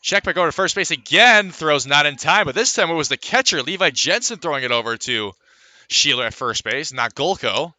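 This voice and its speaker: A man's voice: a little high, animated, brisk, sharp tone, no echo. A man calling live baseball play-by-play in a radio commentary style, filling gaps with player statistics.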